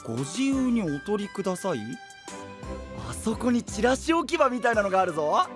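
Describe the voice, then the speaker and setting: A man voicing a cheerful, carefree young traveler with a boisterous, naively confident manner in an animated audio drama. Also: high-pitched, normal speed, over music. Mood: upbeat, carefree. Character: cheerful, food-loving, carefree young male traveling companion. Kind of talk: animated Japanese voice drama acting.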